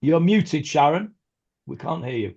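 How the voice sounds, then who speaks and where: A man's voice: fairly high pitch, expressive, measured pace, vocal fry, darkish tone, breathy edge. A man leading an informal online Talmud class over a video call, hesitant, with many ums and restarts and chatty asides. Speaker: rabbi teaching a Talmud class. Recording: dull lo-fi video call audio.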